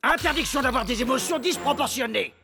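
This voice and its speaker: Stern, high-voiced cartoon principal. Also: female voice, animated, brisk. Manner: stern, commanding. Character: strict, bossy school principal. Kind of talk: animated cartoon voice acting. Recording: loud music behind the voice.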